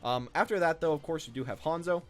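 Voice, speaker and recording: A man's voice: a little high, fast talker, soft background music. A man giving casual, unscripted, rambling commentary as the host of a gaming video. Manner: rambling, informal.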